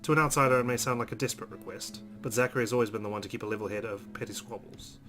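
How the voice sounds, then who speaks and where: A man's voice: on the low side, steady tone, rapid-fire, sharp tone. A man hosting a let's play, reading visual novel narration aloud expressively with casual asides. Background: game music.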